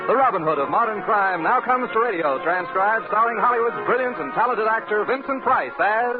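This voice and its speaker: A man's voice: high voice, expressive, brisk. A man announcing a 1950s radio drama in a theatrical, scripted style. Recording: tinny old radio, loud music. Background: loud theme music.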